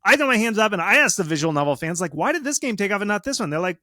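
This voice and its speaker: A man giving advice as a guest expert in a casual interview, speaking in an animated, informal, self-deprecating way. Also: high voice, rapid-fire, no room sound, studio quality. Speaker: guest game marketing expert. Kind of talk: casual interview talk, explaining and advising.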